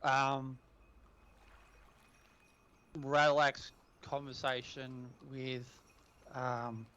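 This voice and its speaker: A man playing in a tabletop game, hesitant, pausing often with "um.". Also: mid-range voice, level tone, sharp tone, clean tone. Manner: hesitant, with many pauses and "um"s. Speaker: player.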